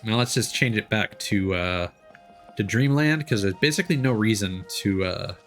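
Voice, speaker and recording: Guy livestreaming a game, casual chat, bright forceful voice, bit of music behind. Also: lowish voice, expressive, normal speed. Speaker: livestreamer and VTuber. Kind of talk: casual gaming stream chat.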